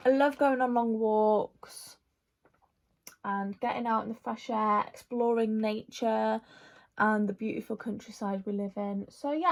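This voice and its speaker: A woman, chatting casually through a makeup Q&A, rambling with lots of fillers. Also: mid-range voice. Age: 20s. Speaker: vlogger.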